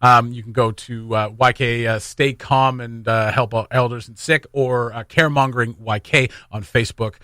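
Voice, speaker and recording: A man's voice: mid-range voice, steady tone, moderate pace, few pauses, bright, forceful tone, no room sound, clean studio sound. A man hosting a morning radio show in an informal, jokey way with casual banter. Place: dry radio studio.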